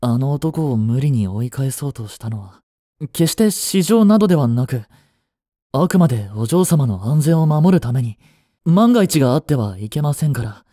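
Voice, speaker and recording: A man acts out a young butler in a romantic audio drama, with a dark, soft-edged voice at a middle pitch. He speaks formally, cool on the surface yet devoted and fiercely possessive. The sound is very dry and intimate. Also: lively intonation, moderate pace, no room sound, natural voice. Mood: apologetic, sulky. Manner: formal, polite to his mistress, curt to rivals. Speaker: voice actor.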